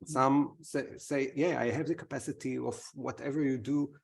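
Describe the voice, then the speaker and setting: A man's voice: medium pitch, steady tone, normal speed, creaky. A man with an Israeli accent facilitating a webinar, thanking speakers and calling on the next participant. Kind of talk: moderating a webinar.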